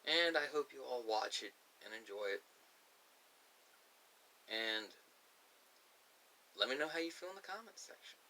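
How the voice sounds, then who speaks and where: A man speaking casually and unscripted to viewers, giving his personal opinions in emphatic, halting, short phrases. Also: medium pitch, lively intonation, bright, forceful tone. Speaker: video creator giving personal thoughts. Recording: distant mic with faint hiss.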